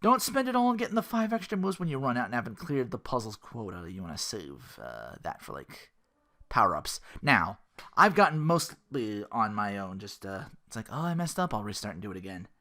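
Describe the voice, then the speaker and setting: Guy doing a gaming podcast, bright punchy voice, really up and down, rambles with little asides. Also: fairly low pitch, fairly quick. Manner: rambling, animated asides, acting out little quotes. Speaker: podcast host. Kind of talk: casual gaming podcast commentary.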